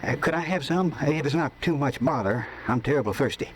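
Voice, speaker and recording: An actor playing a lonely, charming drifter in a vintage radio drama, speaking softly and coaxingly. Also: male voice, expressive, quick pace, close to an everyday voice, poor audio. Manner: soft, low, coaxing talk. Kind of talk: 1950s radio drama acting. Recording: hissy old radio broadcast.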